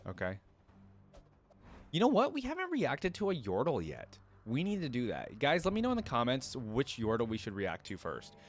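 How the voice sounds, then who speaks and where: A man's voice: medium pitch, wildly swooping pitch, moderate pace. A man hosting a reaction video, chatting casually and thinking aloud as he comments.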